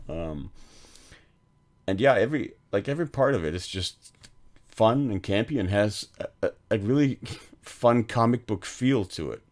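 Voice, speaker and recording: A man's voice: fairly low pitch, expressive, normal speed. A man co-hosting a film podcast, talking casually and rambling, with frequent "like" and "um" fillers. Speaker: podcast co-host.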